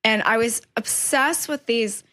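A woman in her thirties talks animatedly about her life in a painting studio, her voice sitting a bit high for a woman. She laughs often and restarts her sentences as she goes. The recording is a casual podcast chat. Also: measured pace, pushed and bright. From born in China, raised in New York. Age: 30s.

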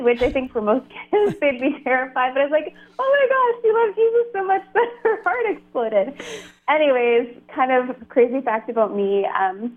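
A woman, a religious sister, telling her story as a guest in a chatty, upbeat way. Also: on the high side. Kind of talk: radio interview, phoned in. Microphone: phone. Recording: tinny phone line.

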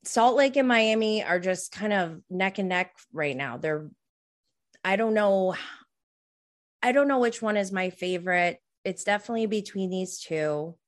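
A woman's voice: medium pitch, measured pace. A woman of about forty hosting a podcast, chatting casually on her own in a rambling way that often trails off. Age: around 40. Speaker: podcast host. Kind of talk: casual solo recap chat about reality TV.

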